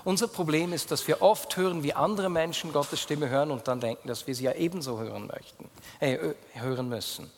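A Swiss German man preaching with a fairly high voice. He speaks earnestly and addresses his listeners directly, and he drops low and quiet in prayer. A long reverb trails after his words in a church hall. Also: fairly quick. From Switzerland. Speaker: pastor. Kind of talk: sermon.

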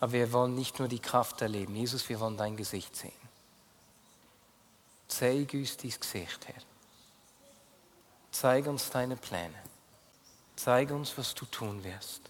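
A man with a Swiss German accent preaching a sermon as pastor, speaking earnestly and directly to his listeners, dropping low and quiet as he prays. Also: unhurried, long pauses. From Switzerland. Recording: long hall reverb.

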